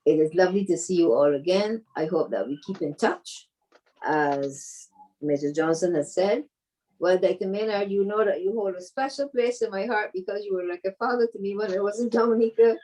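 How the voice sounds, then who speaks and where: A woman's voice: medium pitch, fairly quick, dark tone. An older woman gives sentimental, grateful farewell remarks on a group call. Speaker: former cadet giving reunion remarks. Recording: distant microphone on video call.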